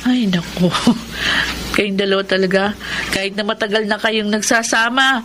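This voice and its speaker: An actress plays a sensible friend behind a drugstore counter in a radio drama. Her medium-pitched voice gently chides, with clear background noise. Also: fairly even intonation, normal speed, lightly acted. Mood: chiding. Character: sensible, chiding female coworker and friend at drugstore. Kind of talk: Filipino radio drama acting.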